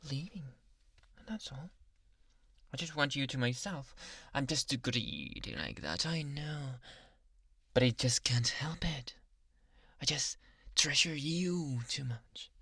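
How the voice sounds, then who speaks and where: A man voicing a possessive, obsessive yandere doctor in an anime ASMR boyfriend roleplay, speaking softly and coaxingly, often in whispers. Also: mid-range voice, husky, no echo, clean studio sound, heavily acted. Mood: obsessive, adoring. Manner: soft, intimate, coaxing, often dropping into whispers. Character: possessive, obsessive male yandere doctor, sweetly menacing lover. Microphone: close microphone.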